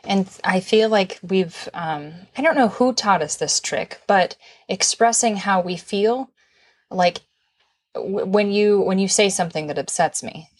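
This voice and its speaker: A woman's voice: medium pitch, a little slow. Chatty woman co-hosting a relationship talk. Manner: warm, playful, chatty with fillers. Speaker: podcast co-host. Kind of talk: casual conversational advice.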